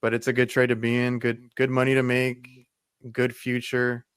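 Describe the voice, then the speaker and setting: A man in his thirties hosts an easygoing chat. He asks questions with lots of fillers. His voice is smooth, with a fairly flat melody, and the sound is close and dry. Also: medium pitch, moderate pace, dry recording. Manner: friendly, full of fillers and restarts. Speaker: podcast host, HVAC technician. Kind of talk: casual interview talk.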